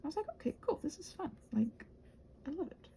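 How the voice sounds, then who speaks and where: A woman's voice: high-pitched, big pitch swings, a little fast, vocal fry, mellow, a little hiss or hum. A woman chatting casually and at length in a digressive way, often correcting herself and restarting her thoughts. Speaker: host of a rambling craft vlog. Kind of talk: casual rambling chat.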